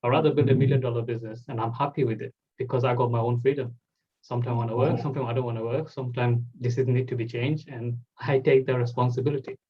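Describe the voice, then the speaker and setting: A man's voice: medium pitch, fairly even intonation, quick pace, husky, highs cut off. A man, hosting a casual interview and talking loosely with many "like" fillers. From Bangladesh. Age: 20s. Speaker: host.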